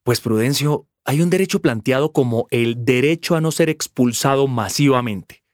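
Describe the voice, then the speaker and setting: A man playing a lawyer who patiently explains migrants' rights in a measured, formal way. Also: medium pitch, no echo, clean studio sound. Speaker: lawyer at a public rights office. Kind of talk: scripted explanatory radio drama.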